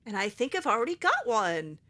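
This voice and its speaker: A woman's voice: fairly high pitch, huge pitch swings, a little fast, sharp tone. A woman playing a game in character, talking in a sarcastic, exasperated, dramatic way. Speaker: let's play host roleplaying her character.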